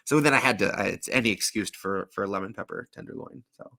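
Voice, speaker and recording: A man with a fairly low voice full of creaky gravel hosts and reads storybook verse. He slips easily between measured recitation and wry, casual chat, joking and swearing freely. The sound is close and dry, with very little room. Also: pushed and bright, no room sound. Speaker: show host and storybook reader.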